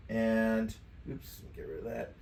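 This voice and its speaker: Low-voiced man in an echoey room. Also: takes it slowly, a little mellow. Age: middle-aged. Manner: informal, thinking aloud while clicking. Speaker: tutorial presenter. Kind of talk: casual step-by-step software tutorial. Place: indoors, home studio room at a computer desk. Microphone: USB microphone at some distance from the mouth. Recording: echoey room with clear background noise.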